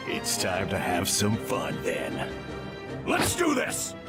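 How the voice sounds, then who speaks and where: Cocky alien warrior man, lowish voice all over the place, menacing, loud music behind. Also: wildly swooping pitch, slow pace, full character voice. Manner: brash, menacing. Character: rough, cocky, battle-hungry male alien fighter.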